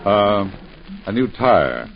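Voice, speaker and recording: A man's voice: on the low side, big pitch swings, slow pace, gravelly, soft background music, heavily acted. An actor playing a fond husband and father who hesitates and stammers in a 1940s radio drama. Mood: sheepish. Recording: dull 1940s radio broadcast with faint hiss.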